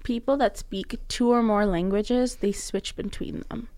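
A young woman co-hosting a casual podcast, animated and quick to laugh, with lots of "like" fillers. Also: mid-range voice, no room sound, clean studio sound. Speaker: podcast co-host. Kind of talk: casual chat.

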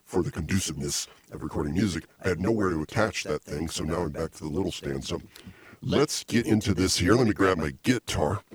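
A middle-aged man hosting a casual comedy show, rambling and teasing with deadpan insults, on a small desk mic in a home music studio. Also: deep voice, brisk, a crowd in the background. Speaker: podcast host. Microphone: small desk mic stand.

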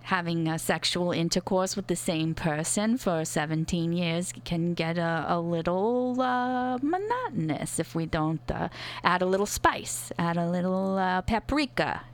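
A woman's voice: on the low side, animated. Woman as a patient, soothing sex therapist. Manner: measured, reassuring, with hesitant fillers. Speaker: advice-show therapist. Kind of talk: improvised comedy sketch.

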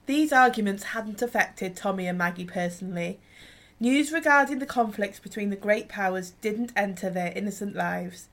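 A woman's voice: mid-range voice, lively intonation, normal speed, a bit bright. A woman reading scripted narration for a historical radio play.

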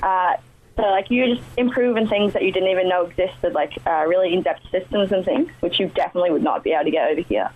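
A young Australian woman answering interview questions in a relaxed way, full of "uh" and "like". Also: fairly low pitch, fast talker, phone-quality sound. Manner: relaxed, lots of "uh" and "like". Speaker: ice hockey player guest.

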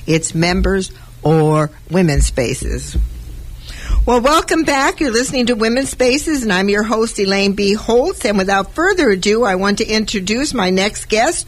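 A woman hosting a community radio interview show, effusive and given to repeating words for emphasis. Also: medium pitch. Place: radio broadcast.

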